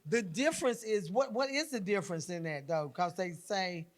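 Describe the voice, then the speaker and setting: Curious talk show host asking questions. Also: medium pitch. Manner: curious, asks many quick questions. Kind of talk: casual talk show chat.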